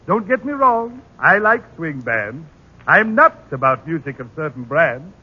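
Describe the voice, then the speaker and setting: An eccentric male poet declaims silly rhymes with pompous flourish in a 1940s radio comedy. His voice is husky, and the old broadcast sounds dull and muffled. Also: on the high side, big pitch swings, unhurried, heavily acted.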